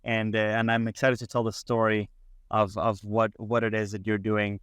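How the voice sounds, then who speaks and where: A man's voice: lowish voice, flat delivery, normal speed, a bit bright, clear, resonant tone, no echo, clean studio sound. A man hosting an interview, encouraging the guest and speaking with frequent fillers and repeated words. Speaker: podcast host.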